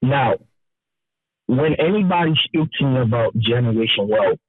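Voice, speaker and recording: A man in his twenties calling in to a live show, speaking hesitantly with a halting start. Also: mid-range voice, expressive, no room sound. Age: 20s. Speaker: caller. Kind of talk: call-in question. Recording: tinny phone line, dry.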